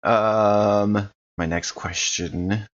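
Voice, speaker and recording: A man's voice: on the low side, steady tone, extremely slow, lo-fi sound. A man chatting casually as a player in a tabletop roleplaying game.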